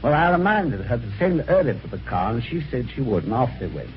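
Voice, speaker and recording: A man's voice: mid-range voice, sing-song, brisk, natural voice. Guy playing polite british husband in an old radio mystery, bit dim and hesitant, really up and down voice, muffled old recording. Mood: puzzled, reflective. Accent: British English. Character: well-mannered, slightly dim English husband recounting a mystery. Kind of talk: old-time radio mystery acting, narration and dialogue. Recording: dull, faintly noisy vintage radio recording.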